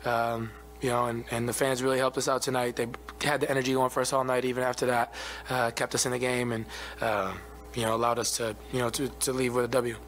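Athlete in his twenties, steady low-key answers at a postgame presser, table mic, light hum. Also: fast. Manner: soft-spoken, even, many fillers. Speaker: basketball player. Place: arena press room. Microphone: table mic in front of him.

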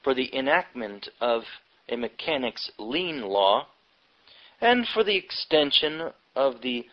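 A man reading a nonfiction history book aloud from the text, with deliberate phrasing broken into short, halting chunks. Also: medium pitch. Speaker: audiobook reader. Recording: dull, lo-fi audiobook recording.

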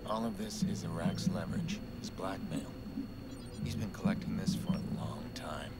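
A man's voice: low-pitched, big pitch swings, moderate pace, vocal fry, over loud music, full character voice. An actor playing a grim, terse male vigilante hacker in a video game, accusing and restrained. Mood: grim, focused.

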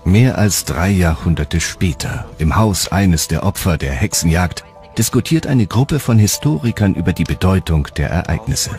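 A man's voice: low voice, lively intonation, moderate pace, a little mellow. A man delivering scripted narration for a history documentary. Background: faint background music.

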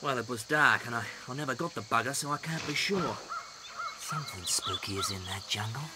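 An actor with an Australian accent playing a wounded, wary soldier in a game, blunt, slangy and wry. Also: male voice, on the low side, lightly acted. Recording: insect noise over the voice. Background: loud jungle crickets and insects.

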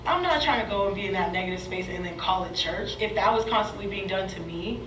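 A young woman on a discussion panel, talking in a lively, casual way with many agreeing asides. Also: mid-range voice, fast, recorded from a distance. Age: young adult. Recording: video played in an echoey hall, off-mic, noisy.

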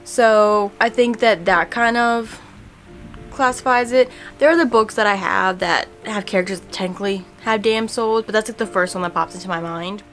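A young woman in a casual book vlog, talking in a chatty, relaxed way with many fillers, recorded at home by a camera at desk distance. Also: mid-range voice, normal speed, soft background music. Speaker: book vlogger. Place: home room in front of bookshelves.

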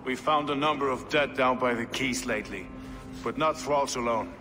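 An actor playing a hard, cynical medieval warrior in a game cutscene, with a blunt, wry and sceptical way of talking. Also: male voice, medium pitch, normal speed, music in the background, natural voice. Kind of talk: dramatic game cutscene acting. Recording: reverberant hall, faint ambient noise.

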